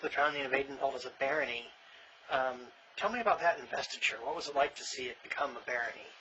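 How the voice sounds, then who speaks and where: A man interviewing an older member of a reenactment society, asking brisk, encouraging questions. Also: medium pitch, bright, forceful tone. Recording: dull sound with faint noise.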